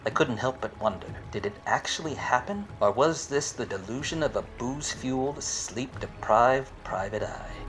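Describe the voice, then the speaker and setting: An actor playing a wise-cracking, obtuse hard-boiled private eye in a parody of 1940s detective radio plays, with wordy deadpan delivery. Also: male voice, mid-range voice, moderate pace, few pauses, faint music behind, natural voice. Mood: brooding deadpan. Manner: deadpan, wordy noir narration.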